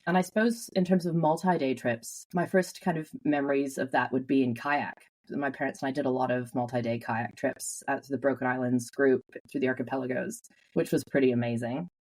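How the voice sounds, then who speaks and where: Canadian woman guest, super low voice, chatty and animated, laughs a lot. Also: fairly quick, rough recording. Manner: animated storyteller, laughs often. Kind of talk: casual interview.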